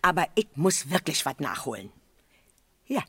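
An actress playing a chatty, excitable neighbour giving evidence in a radio crime play, colloquial and emphatic, with a Berlin accent. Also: female voice, sits low, moderate pace, breathy edge, dry recording, exaggerated voice. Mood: eager, self-important. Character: chatty, excitable, eager female neighbour as witness.

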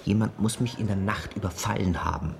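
A pompous police chief with a lowish voice, formal and easily flustered. He sounds embarrassed and indignant, in the manner of a radio comedy. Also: fairly even intonation, fairly quick, creaky, a crowd in the background. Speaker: actor in a radio crime comedy. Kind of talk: German radio play dialogue.

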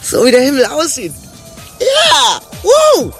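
An excitable male messenger, very high-pitched and swooping all over the place. The delivery is exclamatory radio drama with music behind. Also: takes it slowly, full character voice. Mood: excited. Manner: exclamatory, whooping. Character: excitable, exuberant messenger bringing news. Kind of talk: theatrical radio play acting.

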